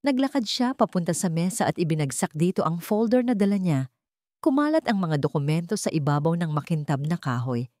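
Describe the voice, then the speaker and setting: A woman's voice: fairly low pitch, very animated, fairly quick, warm tone, no echo, studio quality. A woman reading scripted narration for a dramatic story in the manner of a storyteller.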